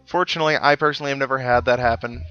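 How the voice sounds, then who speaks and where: A man's voice: mid-range voice, steady tone, rapid-fire, sharp tone, clear, resonant tone, dull audio. Man casually commentating over game music. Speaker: video game let's player.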